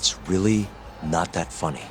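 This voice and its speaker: An actor playing a cool, principled lawyer-detective in a video game cutscene, with a dry, wry manner. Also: male voice, low-pitched, normal speed, music in the background, lightly acted. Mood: exasperated.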